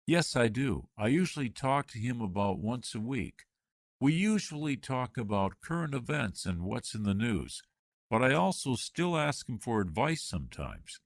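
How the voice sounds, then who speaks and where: Man, scripted interview answers, clear and measured, lively intonation, dry close recording. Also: mid-range voice, dry recording. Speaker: job interview candidate in a practice dialogue. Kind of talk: scripted dialogue reading.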